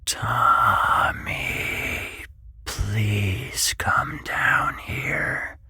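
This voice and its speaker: A man voicing a sinister spirit posing as a genie, in a slow, rasping, coaxing whisper for a horror story. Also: lowish voice, fairly even intonation, voice pushed up.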